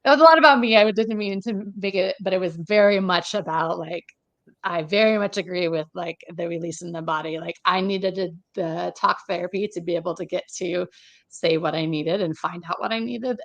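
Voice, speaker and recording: A woman in her thirties talks loosely over a webcam, hosting an interview from a room at home. Her voice sits low with a gravelly fry, and the sound is dry and close. She rambles, circling back with fillers and restarts. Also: no room sound. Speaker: interview host. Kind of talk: casual video call conversation. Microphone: webcam on a video call.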